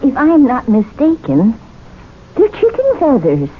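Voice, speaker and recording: An actress playing a polite, fretful maid at a men's club in a radio drama, off-mic on a tinny old broadcast. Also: female voice, high voice, wildly swooping pitch, unhurried, slightly rough, full character voice. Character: fussy young woman maid sweeping up.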